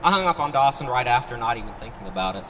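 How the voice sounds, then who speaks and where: A man reads his own short story to a live gathering in a conversational narrative style. His voice is on the low-middle side of ordinary and comes from a distance, with long reverb. Noise runs clearly behind him. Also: expressive, very fast, pushed and bright. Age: 30s. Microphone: handheld microphone, picked up from afar.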